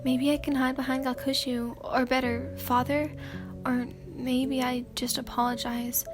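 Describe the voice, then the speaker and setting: A woman with a high voice reads a story in a hushed, sleepy ASMR tone. She keeps an even, level delivery, pausing on fillers and clearing her throat now and then. Music plays softly behind her. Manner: soft and hesitant, many fillers and throat clears. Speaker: ASMR channel host reading fan fiction. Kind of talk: sleepy soft-spoken ASMR reading.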